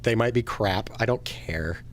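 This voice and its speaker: A man's voice: on the low side, a little slow, slight background noise. A man co-hosting a casual video game chat, easygoing with a dry, joking way of talking. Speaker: podcast co-host.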